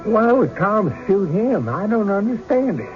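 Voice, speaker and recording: An actor playing a stubborn, excitable rural card player in folksy country talk for an old-time radio drama. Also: male voice, sits high, a little slow. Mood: alarmed, insistent. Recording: dull lo-fi radio recording with music.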